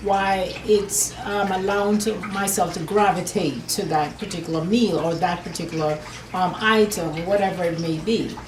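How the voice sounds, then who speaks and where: A woman's voice: fairly low pitch, moderate pace, echoey room, background noise. A woman from Jamaica, an herbalist, answering interview questions earnestly, often saying "per se" and restarting her sentences. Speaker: herbalist and nutrition business owner.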